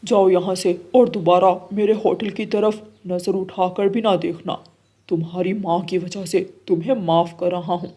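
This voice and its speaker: A woman's voice: lowish voice, fairly even intonation, normal speed, warm tone, lightly acted. A woman voicing a stern, scolding but fair male pigeon who runs a business in a cartoon. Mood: angry scolding. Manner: firm, admonishing.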